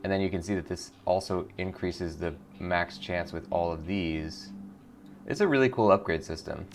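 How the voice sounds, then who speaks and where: A man's voice: low voice, normal speed, slight background noise. A man giving casual, enthusiastic game commentary as a show host, speaking informally and reading on-screen text aloud. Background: faint music.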